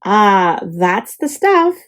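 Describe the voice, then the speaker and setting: A woman's voice: medium pitch, big pitch swings, extremely slow, fairly bright tone, voice pushed down, exaggerated voice. A woman voicing a perky, dramatic young oak tree telling its storybook diary, with theatrical exclamations. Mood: excited, curious. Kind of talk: storybook diary read-aloud.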